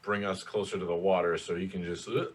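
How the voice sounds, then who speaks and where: Low-voiced blunt male player, some noise. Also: little pitch movement, fast. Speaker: tabletop game player. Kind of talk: casual in-character play chat.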